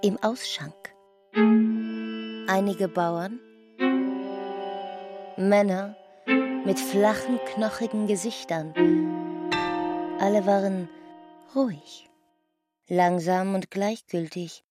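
A female narrator delivers scripted literary description very slowly, at a medium pitch. Strong music plays behind her words. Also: steady tone.

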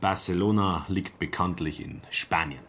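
A man's voice: low-pitched, moderate pace, fairly bright tone. An actor playing a self-important young German salesman in a radio play, with stilted, over-correct speech. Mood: smug. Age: young adult.

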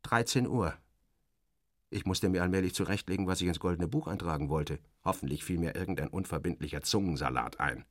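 A man's voice: sits low, a little fast, no room sound, studio quality, lightly acted. An actor playing a sardonic, weary male journalist who narrates his own journey in a radio play, theatrically shifting between muttering and exclaiming. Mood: wry, observant. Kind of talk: radio play first-person narration with acted dialogue.

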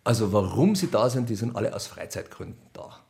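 Male, Bavarian accent, deep-set pitch, extremely gravelly fry, conversational interviewee, wry and colloquial. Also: expressive, brisk, a little mellow. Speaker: radio play author and director being interviewed.

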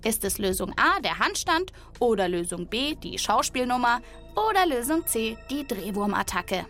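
A woman with a fairly high voice presents a podcast for children. She narrates from a script in a lively, chatty way. She addresses her young listeners directly. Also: moderate pace, soft background music.

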